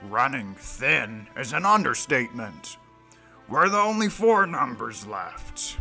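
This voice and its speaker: An actor playing a boastful, hot-tempered dwarven paladin in a fantasy audio drama, declaiming grandly. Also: male voice, mid-range voice, big pitch swings, takes it slowly, gravelly, sharp tone, faint music behind, heavily acted. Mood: scornful, indignant.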